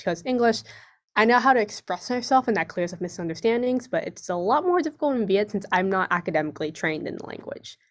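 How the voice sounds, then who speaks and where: A young woman from Vietnam, speaking as a panelist in an online discussion, articulate and expressive with a varied tone. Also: fairly low pitch. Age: young adult. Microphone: video call.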